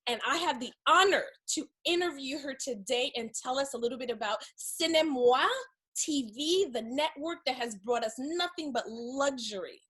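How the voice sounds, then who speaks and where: A woman with a fairly high, husky voice hosts a magazine interview. She gushes with enthusiasm and showers her guest with compliments. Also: moderate pace, a bit bright. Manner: effusive, gushing with compliments.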